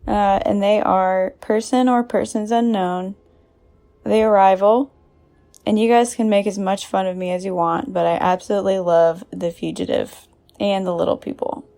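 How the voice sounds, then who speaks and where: Casual woman with a mellow voice. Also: medium pitch, steady tone, moderate pace. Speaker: listener sending in favorites. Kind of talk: casual chat.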